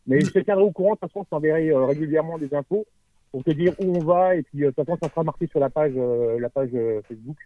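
Fast-talking man on a phone line. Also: a little high. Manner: rambling, many fillers. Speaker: caller. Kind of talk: casual phone chat. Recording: dry, tinny phone line.

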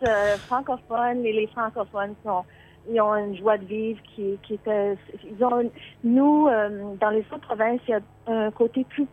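A woman's voice: medium pitch, fairly even intonation, measured pace. A woman from Quebec, calling into a show and chatting in a hesitant way, full of fillers and restarts. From Quebec, Canada. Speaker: caller. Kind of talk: casual phone chat. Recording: tinny phone line.

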